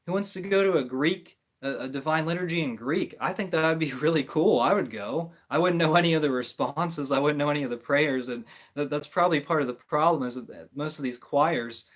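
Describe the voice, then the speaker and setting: Male livestream host, mid-range voice, informal and unscripted, feeling his way through each point with halting rephrasing. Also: mid-range voice. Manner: hesitant, halting, often rephrasing mid-sentence. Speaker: livestream host answering viewer comments. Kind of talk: casual, rambling opinion talk on religion.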